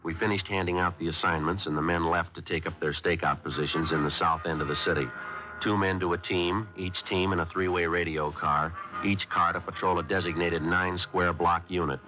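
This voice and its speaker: An actor playing a terse police sergeant who narrates his cases in a clipped, flat deadpan, from a muffled 1950s radio drama. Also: low voice, a little fast, over music, close to an everyday voice. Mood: matter-of-fact.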